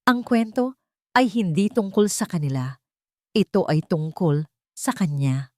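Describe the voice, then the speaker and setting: A woman's voice: fairly low pitch, expressive, a little slow, a little mellow, no echo, studio quality. A woman with a Filipino accent reading a sentimental story as scripted narration.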